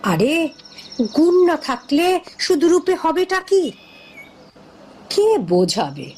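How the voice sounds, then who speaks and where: A woman's voice: high voice, wildly swooping pitch, takes it slowly, a little mellow, full character voice. A woman playing a weary, patient old village mother in a cartoon, chiding and pleading in a plaintive way. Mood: lamenting.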